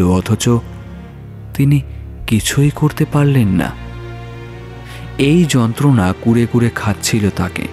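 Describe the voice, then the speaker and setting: A man's voice: lowish voice, darkish tone. A man narrating a scripted horror story in a dramatic storytelling style.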